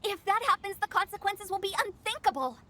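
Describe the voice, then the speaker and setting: A woman's voice: very high-pitched, animated, fairly quick, sharp tone, heavily acted. A woman playing a sly, playful teenage funeral director in a video game, with theatrical, probing delivery. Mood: suspicious. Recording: music behind the voice.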